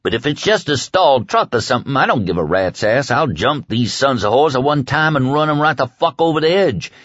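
A young hothead in an audiobook, his pitch thrown far up and swinging wildly. He swears, brags and bullies with explosive temper. The sound is dry and close. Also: very animated, a little fast, fairly bright tone, dry recording, highs cut off. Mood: seething, stubborn. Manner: screaming, cursing, boastful and patronizing. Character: manic, foul-mouthed young man, swaggering, explosively bad-tempered bully.

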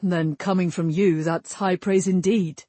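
Female reader as stubborn young fantasy prince, husky, direct, formal archaic speech, dry sound. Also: on the low side, steady tone, measured pace, mellow, no room sound. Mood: casual. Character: proud, defiant young prince, earnest and stubborn.

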